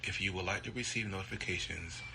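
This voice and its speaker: A man with a fairly low voice reads a scripted podcast sign-off as its host. Clear ambient noise sits behind him. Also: fast talker.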